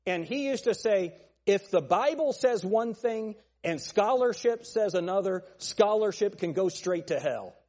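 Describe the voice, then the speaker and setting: A male pastor preaching a fiery sermon with a thin, nasal voice, pressing his points with forceful, repeated phrases. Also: high-pitched, animated, a little slow, big-room sound. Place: church sanctuary.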